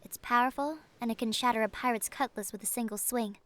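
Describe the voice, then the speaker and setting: Woman acting gentle, solemn ancient siren. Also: fairly high pitch, fast talker, close to an everyday voice. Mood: warm, earnest. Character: kindly ancient siren, a female sea monster. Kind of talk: audio roleplay acting.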